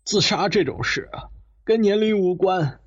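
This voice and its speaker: An actor playing a skeptical middle-aged father who objects conversationally in a Chinese audio detective drama. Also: high-pitched. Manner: doubting, conversational, quick to object. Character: skeptical middle-aged father, matter-of-fact relative in family debate. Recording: slightly muffled, music underneath.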